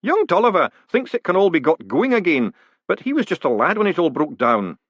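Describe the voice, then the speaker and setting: A man doing a resigned, high-voiced old fellow. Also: big pitch swings, brisk, fairly bright tone, slightly rough, no echo, lo-fi sound, exaggerated voice. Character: elderly male lodge leader, apologetic, resigned, kindly sceptic. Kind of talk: audiobook character voice.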